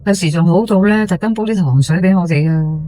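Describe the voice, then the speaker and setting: A woman's voice: fairly low pitch, warm tone, natural voice. Woman acting a gossipy older Hong Kong housewife. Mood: gossipy, chatty. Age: 60s. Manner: colloquial, gossipy, with drawn-out sentence particles and exclamations. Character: chatty gossiping housewife in her sixties, superstitious old estate resident. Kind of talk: first-person ghost story monologue for audio drama.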